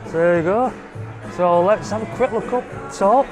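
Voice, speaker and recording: A man's voice: sits high, normal speed, over music, crowd noise. Chatty family vlogger man, raised voice. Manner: chatty, loose, with frequent "so" and "uh". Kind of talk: casual walking tour narration. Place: inside a theatre, foyer and private box.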